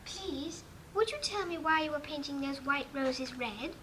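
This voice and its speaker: A woman's voice: sits high, moderate pace, fairly bright tone, a little hiss or hum. A young female performer playing a polite, self-assured little girl with a prim, forthright manner in a 1950s British audio drama. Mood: polite, curious.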